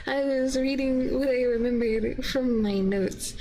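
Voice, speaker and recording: Gently creaky-voiced woman chatting casually. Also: high voice, measured pace, a little hiss or hum, poor audio. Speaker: streamer, host of a VTuber live stream. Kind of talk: casual chat with live stream viewers. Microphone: binaural ASMR microphone.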